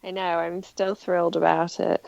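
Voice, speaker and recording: A woman with a gravelly, slightly dull-sounding English accent chats modestly about running. Her dry, lo-fi sound suggests a remote line. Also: on the low side, little pitch movement, normal speed, a little mellow, no room sound. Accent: generic English. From Wales. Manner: modest, laughs easily. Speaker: guest, marathon runner. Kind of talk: casual podcast chat.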